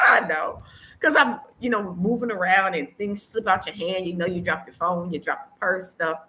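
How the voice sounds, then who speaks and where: A low-pitched American woman talks casually to her online viewers. She is conversational and often repeats a phrase to stress it. A light layer of ambient noise sits behind her voice. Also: moderate pace, pushed and bright. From United States. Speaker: vlogger hosting a live stream.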